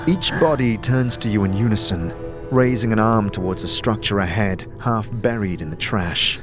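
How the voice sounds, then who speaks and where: A man's voice: lowish voice, moderate pace. A man delivering dramatic scripted narration as the game master of a fantasy audio drama, over music.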